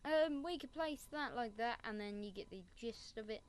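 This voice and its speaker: A woman's voice: a little high, moderate pace. A woman giving a casual Minecraft building tutorial, rambling and making self-mocking asides. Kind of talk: casual gameplay tutorial commentary.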